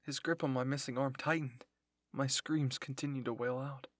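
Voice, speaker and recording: A man's voice: mid-range voice, steady tone, normal speed, no room sound, studio quality. A man narrating a dramatic first-person story in an intense, emotive way. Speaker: narrator of fan fiction roleplay.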